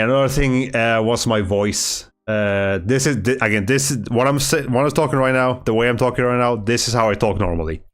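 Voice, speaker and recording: A man speaking as a streamer, talking in a soft-spoken, halting way with many fillers and restarts, and sounding slightly nasal as he recovers from a cold. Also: on the low side. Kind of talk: reflective casual monologue.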